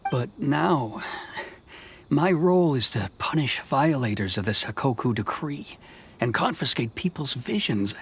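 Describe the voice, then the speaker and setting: An actor playing a dutiful, conflicted officer in a video game, speaking in a measured, formal way. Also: male voice, mid-range voice, a little hiss or hum, natural voice. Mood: stern, resigned. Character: stern, dutiful officer man, conflicted but soft-hearted. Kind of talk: dramatic game dialogue acting. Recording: music behind the voice.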